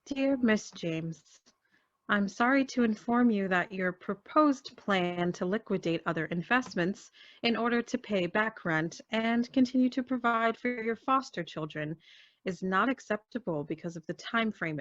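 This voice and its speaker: A woman acting a formal, businesslike foster agency official reading out a letter in a radio play, measured and official. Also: mid-range voice, expressive, no room sound, muffled recording, close to an everyday voice. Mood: stern, official.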